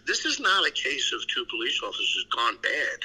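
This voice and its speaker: Retired cop guy, husky and super bright tone, slow deliberate storyteller. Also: mid-range voice, wildly swooping pitch, low-quality recording. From Irish heritage. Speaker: retired detective guest. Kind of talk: casual interview talk.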